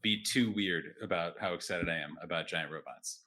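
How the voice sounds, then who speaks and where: Bright-voiced, lowish man bantering dryly. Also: moderate pace. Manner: dry, wry joking. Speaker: player on an actual-play podcast. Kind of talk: casual banter.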